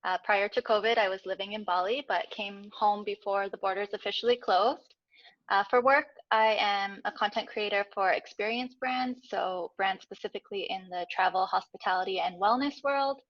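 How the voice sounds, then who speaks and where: A Canadian woman with a mid-pitched voice introduces herself casually as a panelist. The sound is close and dry, with a slightly dull call quality. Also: fairly even intonation, normal speed, no room sound. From Toronto, Canada. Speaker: panelist, content creator.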